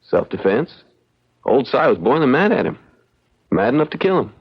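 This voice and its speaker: A man playing a young frontier lawyer in an old radio mystery, folksy, shrewd and deliberate as he probes. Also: mid-range voice, big pitch swings, husky. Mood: reasoning. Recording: dull, band-limited old radio recording.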